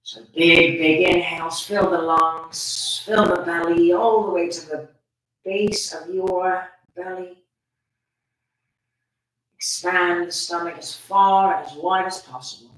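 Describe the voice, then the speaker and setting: Slow, very echoey meditation teacher. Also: mid-range voice, fairly even intonation. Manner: soothing, gentle, drawn-out phrasing with pauses. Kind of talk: guided meditation instruction. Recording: very echoey room, distant from mic.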